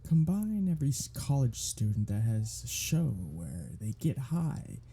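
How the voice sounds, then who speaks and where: A cocky, sardonic vampire, played by a voice actor, in an ASMR audio drama. The voice is very dark and husky, full of breath, and swings widely in pitch. The vampire drawls out teasing, sarcastic banter. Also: full character voice. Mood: defensive, mocking. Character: cocky, sardonic, teasing, foul-mouthed vampire riding shotgun. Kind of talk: ASMR roleplay audio drama, one-sided conversation.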